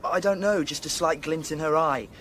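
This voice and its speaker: A man's voice: fairly high pitch, moderate pace, fairly bright tone, a little hiss or hum, natural voice. A man playing an earnest, defensive young farmer's son in a radio drama. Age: young adult. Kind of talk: British radio drama acting.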